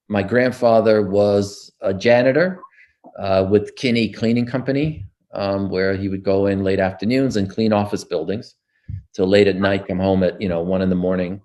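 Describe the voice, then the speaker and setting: A man's voice: fairly low pitch, steady tone, unhurried, darkish tone. An older man, recounting his childhood in an oral history interview, with frequent fillers and restarts. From United States.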